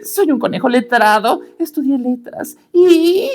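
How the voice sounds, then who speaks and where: A man voicing an excitable, bookish male rabbit puppet that squeals and giggles. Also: very high-pitched, a little slow, pitched above the performer's own voice, exaggerated voice. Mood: giddy.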